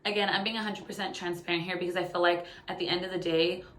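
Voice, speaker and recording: Echoey, low-pitched young woman vlogging. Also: fairly even intonation, fast, a bit bright, slight background noise. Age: late 20s. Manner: chatty, candid, informal. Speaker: YouTube vlogger. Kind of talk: casual personal update to camera. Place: living room by a window. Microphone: phone. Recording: echoey room sound on phone.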